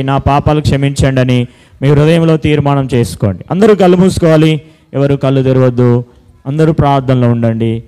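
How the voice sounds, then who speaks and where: Children's ministry leader: man, higher-than-average pitch, soft-edged tone, instructive preaching and prayer. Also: clear, resonant tone, poor audio. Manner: instructing, repeats simple commands and questions. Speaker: children's Bible school leader.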